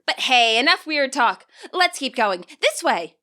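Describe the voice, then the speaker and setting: A woman voicing an overeager, chatty teenage girl who is student council president, lifting her voice high and lively. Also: a little slow, exaggerated voice.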